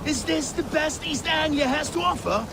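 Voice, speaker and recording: A gravelly scornful Danish warrior. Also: male voice, very high voice, moderate pace, background noise. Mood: mocking. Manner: scornful, taunting. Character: gruff boastful Danish warrior man, mocking. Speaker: rival warrior in a rhyming insult duel. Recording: game cutscene with loud ambience.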